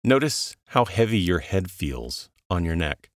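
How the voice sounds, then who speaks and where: A man narrating a guided relaxation in a calm, soothing, measured way, leaving long pauses between instructions. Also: sits low, no room sound, studio quality.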